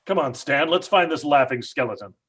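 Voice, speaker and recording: Blustering, husky-voiced stubborn father played by a man. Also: a little high, brisk, a bit bright. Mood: impatient, determined. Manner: blustering, combative. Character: stubborn, skeptical father, angrily sure of a hoax. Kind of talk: old-time radio horror drama acting.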